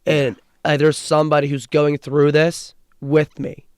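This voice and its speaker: A man's voice: a little high, monotone, unhurried, darkish tone, clean tone, no echo, studio quality. A young man who stutters, co-hosting a casual sports podcast in an earnest way, often restarting and repeating words. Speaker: podcast co-host.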